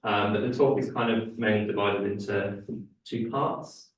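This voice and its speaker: A man's voice: fairly low pitch, steady tone, moderate pace. A young man giving a seminar talk, hesitant with many fillers and restarts, losing his voice a little. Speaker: guest speaker. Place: lecture room. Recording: echoey room, speaker far from mic.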